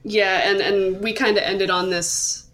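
A woman's voice: mid-range voice, fairly even intonation, a little slow, a bit bright. A woman hosting a podcast, talking casually about comics in a hesitant, self-qualifying way. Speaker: podcast host. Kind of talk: casual discussion of manga.

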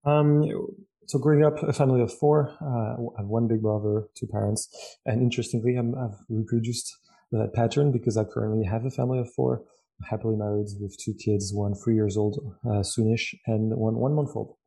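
Gravelly, mellow Frenchman in casual conversation. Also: fairly low pitch, steady tone, fairly quick, low-quality recording. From Lyon, France. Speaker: podcast guest, tech entrepreneur. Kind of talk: casual interview chat.

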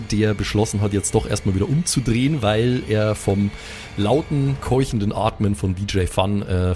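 A man's voice: fairly low pitch, darkish tone. A man hosting as game master, improvising narration and bantering casually.

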